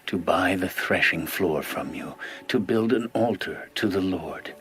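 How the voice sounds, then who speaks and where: An actor playing a solemn, resolute ancient king in a dramatized scripture reading. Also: a bit bright. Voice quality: very deep voice. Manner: slow, deliberate delivery. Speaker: actor in a dramatized audio Bible.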